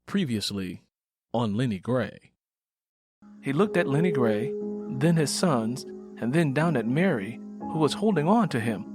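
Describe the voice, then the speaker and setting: Narrator, male: mid-pitched storytelling for a scripted drama, plucked music underneath. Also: animated, measured pace. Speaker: writer and narrator.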